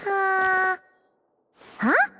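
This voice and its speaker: A woman voicing a small, excitable, childlike fairy companion in an anime game, chirpy and expressive. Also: very high-pitched, extremely slow, faint music behind, exaggerated voice. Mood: perplexed. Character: small excitable childlike floating fairy companion, talks about herself by name.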